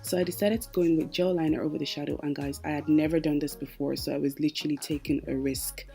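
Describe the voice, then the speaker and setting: Young woman talking thru a makeup tutorial, low voice, easygoing, faint music under it. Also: fairly even intonation, fast talker, creaky, mellow. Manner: chatty, explains each step. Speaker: makeup artist.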